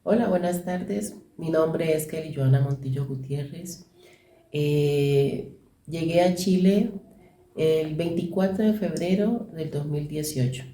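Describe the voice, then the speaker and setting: A woman's voice: sits low, slow pace, warm tone, slight background noise. A woman on staff giving an earnest personal testimony with fillers. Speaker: staff member. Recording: echoey room.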